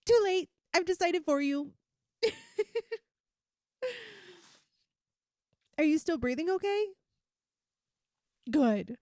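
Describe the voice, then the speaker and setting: A woman's voice: sits high, animated, a little slow, mellow, dry recording, clean studio sound. A woman voicing a playful, affectionate young lamia best friend in an intimate, chatty ASMR roleplay. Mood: playful, needy. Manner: teasing, chatty, giggly. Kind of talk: ASMR roleplay, one-sided intimate conversation.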